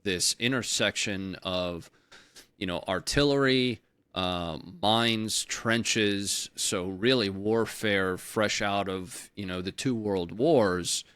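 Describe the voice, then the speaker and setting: A man hosting an interview, talking analytically and haltingly, with many restarts and filler phrases. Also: on the low side, fairly bright tone, clear, resonant tone, dry recording. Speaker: interview host. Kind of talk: casual interview talk.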